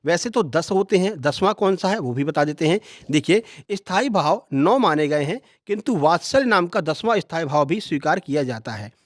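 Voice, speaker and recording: A man's voice: fairly high pitch, animated, moderate pace, few pauses. A man teaches in an exam-review style. He states each question, answers it and repeats the key facts instructively. The sound is close and dry, with no room echo.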